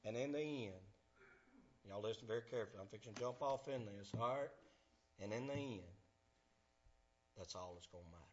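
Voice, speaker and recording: A man, preaching a fervent sermon in church, swinging from shouts to hushed tones. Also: mid-range voice, a little fast, pauses often, lo-fi sound, slight background noise. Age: 50s.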